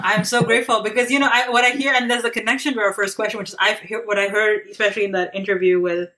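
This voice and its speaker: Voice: mid-range voice, fairly even intonation, fast talker, pushed and bright. An interviewer speaking earnestly, affirming the guest and framing questions with long, thoughtful lead-ins. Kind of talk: interview conversation.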